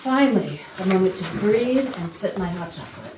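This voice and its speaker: A woman's voice: mid-range voice, expressive, a little fast, lightly acted. A woman playing an arch, condescending office worker in a staged comedy reading. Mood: composed. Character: snippy, condescending female office worker at Christmas party. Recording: roomy distant rehearsal recording, faint noise.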